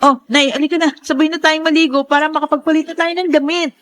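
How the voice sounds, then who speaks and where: High-voiced, worried Filipina daughter played by an actress. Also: female voice, steady tone, fast talker, lightly acted. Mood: anxious. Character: worried, practical daughter caught in a flood. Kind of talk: radio drama acting.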